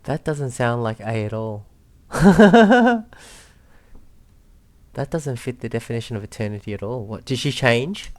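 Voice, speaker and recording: A man's voice: mid-range voice, very animated, unhurried, long pauses. A man doing casual let's play commentary, musing aloud as he reacts to the game. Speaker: let's player.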